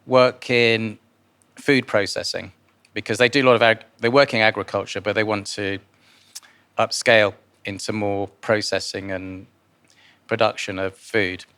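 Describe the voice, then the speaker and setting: A man born in Guyana answers interview questions informally, in a halting way with repeated words. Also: lowish voice, a bit bright. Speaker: interviewee, philanthropist and investor.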